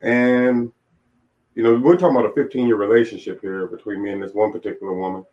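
Male interview guest, late 40s, lowish pitch, reflective and plain-spoken storyteller, slightly muffled recording. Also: fairly even intonation, fast, dark tone. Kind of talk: candid personal storytelling. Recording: dull, lo-fi audio.

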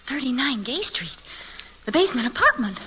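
An actress playing an excitable, curious young wife, devoted and easily alarmed, with eager theatrical delivery in 1940s radio mystery-comedy style. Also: female voice, high-pitched, normal speed, full character voice. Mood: intrigued, anxious. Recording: noisy vintage radio broadcast recording.

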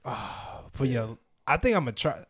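A man chatting casually on a podcast, teasing and quick to laugh. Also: medium pitch, very animated, husky. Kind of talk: casual chat.

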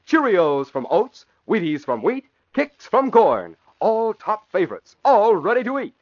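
An American male announcer from the golden age of radio. His fairly high voice swings widely in pitch as he declaims with dramatic emphasis. The sound is muffled, like a vintage broadcast. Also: sing-song, unhurried. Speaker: announcer and narrator. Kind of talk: 1940s radio announcing, dramatic scripted narration.

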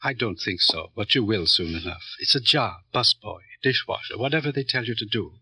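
An actor playing a smug, calculating middleman who runs a barrio, talking in a smooth, condescending, pompous way in an old radio crime drama. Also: on the low side, expressive, fairly quick, pushed and bright, dry recording, heavily acted.

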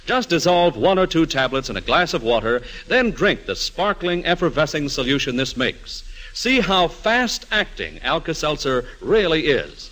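A man's voice: fairly high pitch, big pitch swings, normal speed, fairly bright tone, poor audio. An American man reading a 1940s-style radio commercial, speaking persuasively and directly to listeners on an old broadcast recording. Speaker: commercial announcer. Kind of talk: 1940s radio advertisement read.

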